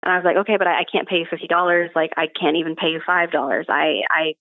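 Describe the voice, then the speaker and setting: A woman's voice: on the low side, fairly even intonation, fast, no room sound. A young woman telling personal stories casually as a podcast guest, conversational and matter-of-fact, over a remote call with narrow phone-like audio. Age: young adult.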